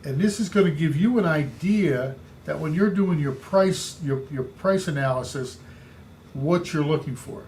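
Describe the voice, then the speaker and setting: A man with a big booming voice coaching real estate agents, speaking emphatically and repeating his key points. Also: unhurried, a little mellow, a little hiss or hum. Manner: emphatic, repetitive coaching delivery. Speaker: real estate sales trainer. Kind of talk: instructional podcast talk.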